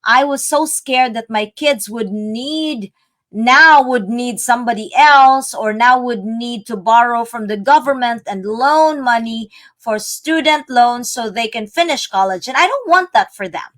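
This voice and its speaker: A woman's voice: fairly high pitch, measured pace, a bit bright. A middle-aged woman from the Philippines, a business coach, chatting earnestly on a live video call. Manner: chatty, earnest, laughs easily. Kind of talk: casual live-stream chat.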